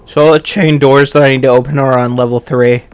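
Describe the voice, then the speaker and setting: Man, hoarse, losing his voice, casual game commentary, thinks out loud, dry close sound. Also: medium pitch, fairly even intonation, a little fast, no room sound. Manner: thinking aloud, methodical. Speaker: gameplay streamer.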